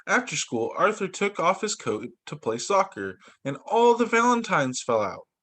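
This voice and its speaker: Man reading storybooks with very lively pitch. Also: normal speed. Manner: animated, emphatic storytelling for young children. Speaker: teacher reading picture books to students. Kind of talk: expressive children's story read-aloud.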